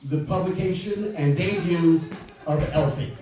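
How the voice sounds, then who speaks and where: High-voiced man hosting a bookstore event. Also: unhurried, background noise. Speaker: event host. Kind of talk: introducing a speaker. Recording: echoey room from far off.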